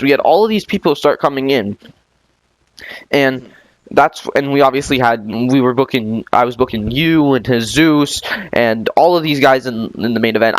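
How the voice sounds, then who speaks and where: A man hosts a casual podcast interview. He rambles and halts as he talks, circling back with restarts and fillers. His mid-pitched voice sounds close and dry, with no room around it. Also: no echo. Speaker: podcast host.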